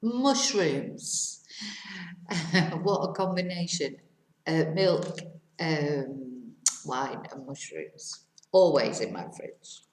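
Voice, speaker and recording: A middle-aged woman, teaching to Spanish speakers, repeating each question slowly and clearly. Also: sits low, expressive, roomy sound. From England. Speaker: English teacher. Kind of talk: language lesson for Spanish speakers.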